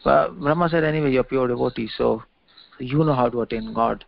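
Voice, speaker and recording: A man with an Indian accent giving an extempore religious lecture on scripture, haltingly, repeating and restarting phrases as he explains. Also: mid-range voice, gravelly, dry recording. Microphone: conference call line. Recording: dull lo-fi call audio, faint noise, clicks.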